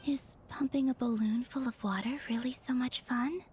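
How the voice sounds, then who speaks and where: An actress playing a solemn moon goddess in a video game, musing softly and formally as she watches mortals with wonder. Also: high-pitched, fairly even intonation, normal speed, a little hiss or hum, lightly acted. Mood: puzzled. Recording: music behind the voice. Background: game music.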